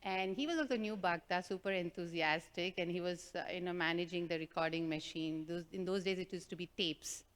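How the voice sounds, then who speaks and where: A woman telling a devotional group a personal story, animated and self-mocking, with a loud voice and frequent laughter. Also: lowish voice, fairly quick, few pauses. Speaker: devotional speaker. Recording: reverberant hall.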